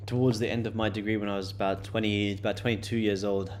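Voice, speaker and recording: Chatty young man with a lowish voice. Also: little pitch movement, fast, darkish tone, slight background noise. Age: late 20s. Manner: loose and chatty, many fillers and repeated words. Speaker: day-trading livestream host. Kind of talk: casual live-stream chat answering viewers.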